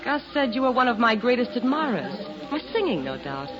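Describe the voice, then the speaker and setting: World-weary femme fatale, sardonic, guarded, old radio drama, dull sound, music behind. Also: female voice, on the high side, very animated, fairly quick. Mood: cool, teasing. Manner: cool, sardonic. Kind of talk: 1940s-50s radio drama acting.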